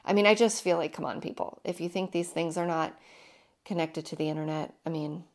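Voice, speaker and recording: A woman in her thirties hosting her own podcast, talking casually with emphatic repetition, her voice hoarse and deepened from illness. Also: steady tone, brisk. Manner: chatty, emphatic, repeats herself. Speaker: podcast host. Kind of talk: casual solo podcast monologue.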